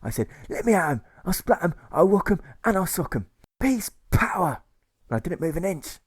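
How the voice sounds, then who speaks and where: A man's voice: high-pitched, sing-song, normal speed, husky, no room sound, clean studio sound, voice pushed up, heavily acted. A man voicing a fiery, boastful male agitator in an audiobook, making belligerent threats in slangy speech. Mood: boastful defiance.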